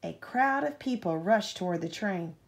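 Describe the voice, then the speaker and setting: Lively woman reading a bedtime storybook, slightly creaky. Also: medium pitch. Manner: animated, pausing to ask a child questions. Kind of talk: expressive children's storybook read-aloud.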